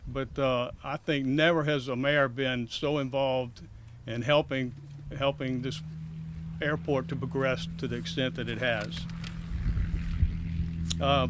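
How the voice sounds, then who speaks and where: An older man giving formal, measured remarks of thanks as a chairman. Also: fairly high pitch, heavy background noise. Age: 50s or older. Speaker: airport authority chairman.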